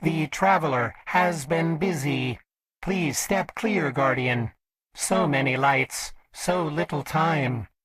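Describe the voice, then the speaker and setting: An actor playing a courteous robot servant of an exiled emperor in a video game, polite, formal and servile. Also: male voice, fairly high pitch, slow pace, a bit bright, rough recording. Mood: formal, devout. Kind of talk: scripted game character lines.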